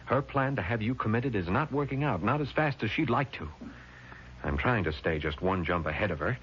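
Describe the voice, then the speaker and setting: A man's voice: on the low side, expressive, fairly quick, fairly bright tone. An actor in a vintage radio drama playing an earnest, protective man who speaks with emphatic urgency. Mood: earnest, grave. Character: earnest, incredulous, protective man taking charge. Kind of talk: 1970s radio drama acting. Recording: dull, noisy vintage radio recording.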